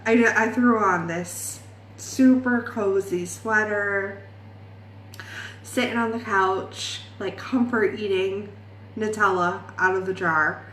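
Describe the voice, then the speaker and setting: A young woman in her late twenties, from Ireland, talks through a makeup vlog in an unhurried, rambling way. She pokes fun at herself and drifts between topics. Her voice sits in an echoey room with faint ambience behind it. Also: mid-range voice, steady tone, fairly bright tone, slight background noise. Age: 20s. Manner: rambling, self-deprecating, laughs often. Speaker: beauty vlogger.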